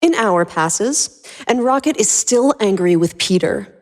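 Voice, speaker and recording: A woman hosting and narrating a fan fiction podcast with animated, scripted storytelling. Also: medium pitch, unhurried.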